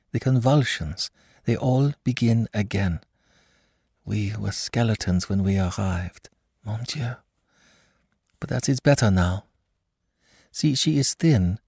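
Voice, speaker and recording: A man's voice: fairly low pitch, creaky, darkish tone, breathy edge, no echo, clean studio sound, heavily acted. A man reading an audiobook, voicing a young émigré mother who gushes and slips French phrases into her English. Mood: anxious. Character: anxious, effusive young émigré mother, woman.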